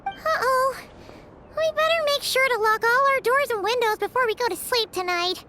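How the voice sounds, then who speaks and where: A woman voicing a tiny, excitable childlike fairy companion in a video game, with a squeaky, perky voice. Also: normal speed, heavy background noise. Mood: worried, let down. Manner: perky, speaks of herself in third person. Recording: music behind the voice. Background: background music.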